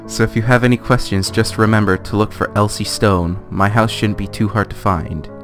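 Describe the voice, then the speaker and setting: A man with a fairly low voice reads a fantasy guidebook aloud. His delivery is theatrical and deliberate, like a dramatic reading for a game video. Guitar music plays clearly behind him. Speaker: let's play gamer.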